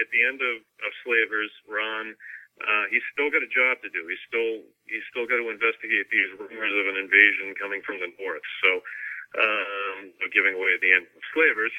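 A man's voice: fairly low pitch, fairly even intonation, moderate pace. A man giving a casual interview as a novelist, talking in a chatty, jokey way over a remote call. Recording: dull, lo-fi phone-like line.

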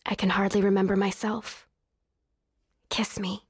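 A woman's voice: mid-range voice, level tone, a little slow, breathy edge, no echo, studio quality. Frank, wry young noblewoman. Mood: intimate. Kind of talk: audio drama acting.

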